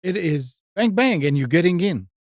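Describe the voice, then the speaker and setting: A man hosting a casual tech news discussion, partly reading out items in a halting way with repeated words. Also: high-pitched, big pitch swings, dry recording, studio quality. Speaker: talk show host.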